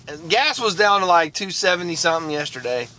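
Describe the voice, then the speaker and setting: A man in his fifties chatting casually to his vlog audience in a halting way, often restarting sentences and repeating words. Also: on the high side, expressive, pushed and bright. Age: 50s. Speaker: vlogger. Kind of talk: casual rambling vlog chat.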